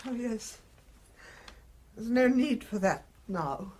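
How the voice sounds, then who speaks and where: A woman's voice: on the high side, expressive, slow pace, a little mellow, breathy edge, full character voice. A woman playing a distressed genteel lady in a radio play, hesitant, sorrowful and shaken. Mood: shaken, weary.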